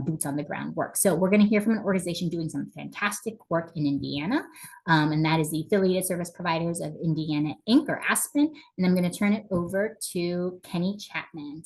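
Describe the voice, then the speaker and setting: A woman psychologist presents a webinar over a video call in a conversational way. Her voice sits a little low. She often reaches for small fillers such as "sort of" and "like". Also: darkish tone.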